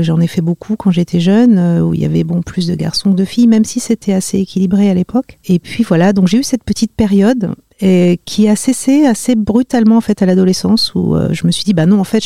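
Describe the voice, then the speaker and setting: A woman in her fifties from the Paris region, a podcast guest talking hesitantly with many pauses and fillers. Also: medium pitch, creaky, warm tone, dry recording, clean studio sound. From Paris region, France. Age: 50s. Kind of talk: podcast interview.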